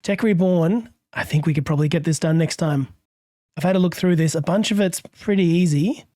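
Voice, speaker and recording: Hoarse man narrating his gameplay. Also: on the high side, brisk, no room sound, studio quality. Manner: musing aloud, relaxed, chuckles often. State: hoarse, under the weather. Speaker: let's play host. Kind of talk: gameplay commentary.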